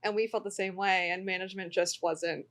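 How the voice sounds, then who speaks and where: A woman talking as a podcast guest about her work with investors, friendly and quick to laugh along. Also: mid-range voice, fairly even intonation, a bit bright. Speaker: real estate investor guest. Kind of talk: casual podcast chat.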